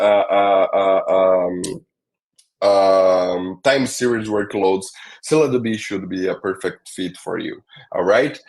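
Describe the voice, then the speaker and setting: A man hosting a technical webinar, talking through slides with frequent fillers and "all right?" check-ins. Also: on the low side. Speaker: webinar host. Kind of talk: presenting a tech webinar.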